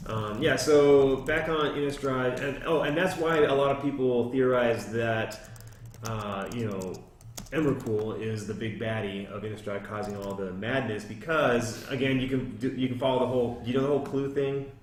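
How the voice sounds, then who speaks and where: A man's voice: mid-range voice, fairly even intonation, moderate pace, a little hiss or hum. A man casually explaining a fantasy story in a rambling, conversational way. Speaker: podcast co-host explaining game lore. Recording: echoey room.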